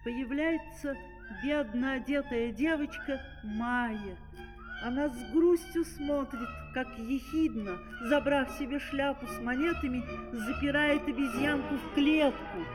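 A high-pitched woman tells a fairy tale as a scripted narrator. Her voice carries a long hall reverb with music behind it, as on an old vinyl record. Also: measured pace.

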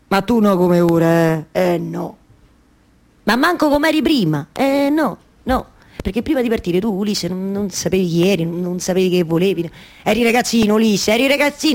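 An actress playing a stubbornly hopeful waiting wife in a theatrical monologue, with a colloquial Roman accent and insistent repeated phrases. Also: female voice, fairly low pitch, darkish tone. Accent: central Italian, Roman. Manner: incantatory, repeating phrases over and over.

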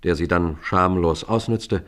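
A man playing a composed detective who narrates and questions in a German radio crime play, measured and pointed. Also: deep voice, a bit bright. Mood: measured, grave. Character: composed, probing male detective narrating his case.

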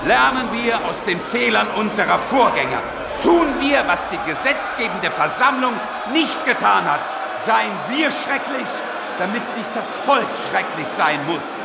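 A revolutionary firebrand addressing an assembly in a radio drama. His voice is strained very high and crackles with gravel as he declaims forcefully. A loud crowd roars around him. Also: animated, unhurried, full character voice. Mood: rousing, thunderous. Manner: declamatory, forceful, shouting at peaks. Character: fiery male revolutionary orator rousing an assembly. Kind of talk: radio play political speech. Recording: echoey hall, loud roaring crowd.

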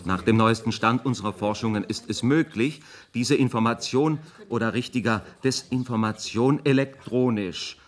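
A man plays a calculating professor with a mid-pitched voice. He lectures precisely and coolly, with clinical detachment. The style is science fiction radio drama. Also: normal speed, few pauses, lightly acted. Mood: clinical, detached.

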